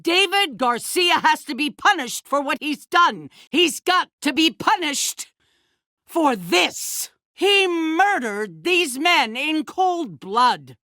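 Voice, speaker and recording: An actress playing a calculating, self-righteous leader of a survivor community in a video game, speaking in a measured, condescending, politician-like way. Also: female voice, sits high, pushed and bright, no echo, clean studio sound, exaggerated voice. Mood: rousing, accusing. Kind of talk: dramatic video game voice acting.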